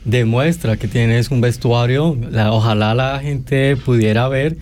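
A man interviewing guests in a casual style, halting and repeating words as he searches for the next one. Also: mid-range voice, darkish tone. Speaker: radio interviewer.

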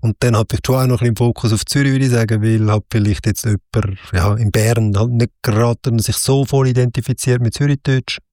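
A man with a Swiss German accent, hosting a relaxed interview at a mid pitch. He talks in a chatty way and keeps checking in with a quick "oder?". The sound is dry and close to the mic. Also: no room sound. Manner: chatty, ends points with "oder?". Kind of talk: casual interview.

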